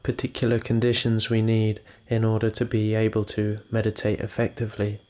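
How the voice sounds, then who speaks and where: A man leading a guided meditation in a calm, measured manner, leaving pauses between phrases. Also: on the low side.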